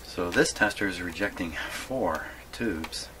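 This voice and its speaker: Man, deep voice, casual repair demo, halting and repetitive muttering, clear background noise. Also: animated. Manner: halting, muttering to himself, repeats words. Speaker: hobbyist radio repairer presenting his workshop video. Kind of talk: casual hands-on demonstration, thinking aloud. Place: radio repair workshop. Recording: clearly noisy workshop recording.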